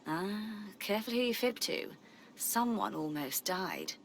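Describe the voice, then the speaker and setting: A woman's voice: fairly low pitch, sing-song, a little slow, slight background noise. An actress playing a sardonic, flirtatious, haughty woman in a video game, arch and teasing. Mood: coy flirting.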